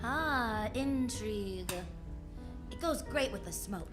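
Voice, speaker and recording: A woman's voice: a little high, expressive, slow, music in the background. A woman playing a wry, flirtatious, self-assured private eye in the style of 1940s radio drama, full of slangy quips. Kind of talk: 1940s radio drama acting with first-person narration.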